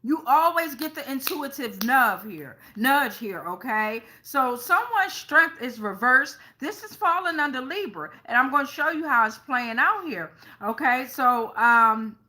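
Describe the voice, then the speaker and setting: Female tarot host, high bright voice, unscripted and colloquial, frequent check-in tags, swears casually. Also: lively intonation, unhurried, hardly any pauses. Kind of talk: improvised tarot reading addressed to online viewers.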